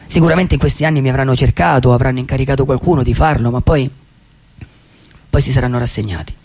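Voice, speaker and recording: An actor playing a lonely, dreamy vagabond in a radio play, delivering a poetic, reflective monologue with halting pauses. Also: male voice, mid-range voice, a little mellow, a little hiss or hum, lightly acted. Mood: melancholy. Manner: reflective, halting, with dramatic pauses and repeated phrases. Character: lonely, dreamy male vagabond, wistful and philosophical.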